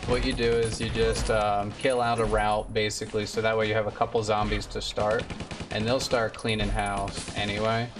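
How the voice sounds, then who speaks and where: A man's voice: lowish voice, level tone, normal speed, distant mic. A young man giving casual, laid-back gameplay commentary as a streamer, explaining things informally. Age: young adult. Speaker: video game streamer. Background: loud game music and gunfire.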